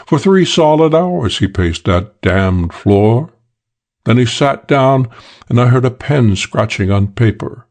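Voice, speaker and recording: A man voicing a suave, self-assured English gentleman thief telling a wry crime story for an audiobook, with old-fashioned British slang. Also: mid-range voice, expressive, takes it slowly. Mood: cool, matter-of-fact.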